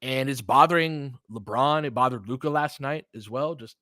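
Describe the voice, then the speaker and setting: A man's voice: medium pitch, moderate pace, sharp tone, no room sound, clean studio sound. A man doing casual live basketball play-by-play in short, clipped phrases, with informal asides as a fan would make. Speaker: play-by-play commentator and streamer.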